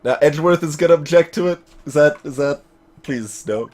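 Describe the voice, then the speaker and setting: A man's voice: on the high side, brisk, darkish tone. A man hosting a let's play, giving casual commentary on the game he is playing.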